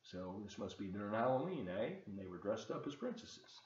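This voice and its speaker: Male, 70s, folksy children's story reader, lively intonation, roomy dull home webcam sound. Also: fairly low pitch, normal speed. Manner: folksy, expressive, addressing young listeners. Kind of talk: children's read-aloud with chatty asides. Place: open living room and kitchen, indoors. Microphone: webcam at desk distance.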